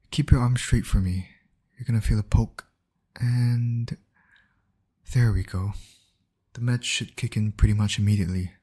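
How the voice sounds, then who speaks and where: A man's voice: on the low side, a little mellow, slightly rough. A man acting a caring field medic in an ASMR roleplay, speaking directly and reassuringly to an injured patient. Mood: calm, gentle. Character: male field medic, calm, caring, urgent under pressure.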